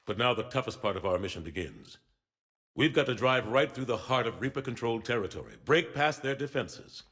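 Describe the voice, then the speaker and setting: An actor playing a determined, hard-bitten admiral in a video game, speaking with clipped military authority. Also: male voice, mid-range voice, a bit bright. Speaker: admiral briefing troops. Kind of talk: video game cutscene acting.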